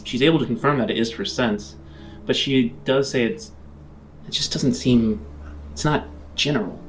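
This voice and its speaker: A man acts as game master, narrating a tabletop game on the fly. His voice sits at a medium pitch. Faint noise runs behind the recording. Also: moderate pace, rough recording.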